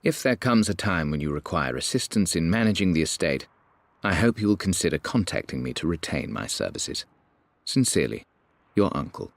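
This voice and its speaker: An actor playing a stiff, aristocratic older British uncle, reading out a formal letter in measured tones for a video game cutscene. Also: male voice, sits low, big pitch swings, creaky, heavily acted. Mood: stiff, resigned.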